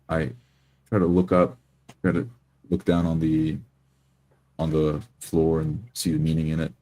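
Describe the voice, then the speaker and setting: A man improvising first-person roleplay as a tabletop game player, in halting phrases with repeated words. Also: very deep voice, completely flat delivery, warm tone.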